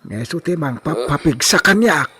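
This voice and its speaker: A man's voice: fairly high pitch, lively intonation, moderate pace, pushed and bright, breathy edge, full character voice. A man with an Ilocano Filipino accent playing a humble, spry old farmhand in a comedy radio drama, drawling and exclaiming in a folksy way. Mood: humble, amused. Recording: noisy track with bird chirps.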